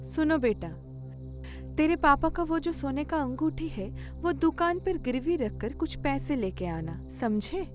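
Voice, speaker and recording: Woman voicing a cruel, very high-pitched stepmother. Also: lively intonation, measured pace, over music. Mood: bossy. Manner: taunting, bossy. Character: cruel, scornful, greedy stepmother. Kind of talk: Hindi cartoon moral-story villain acting.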